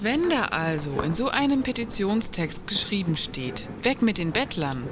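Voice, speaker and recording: A woman's voice: medium pitch, big pitch swings, normal speed, noisy background, natural voice. A woman acting a haughty, self-righteous affluent shopper in a satirical radio play, with an affected, wordy delivery.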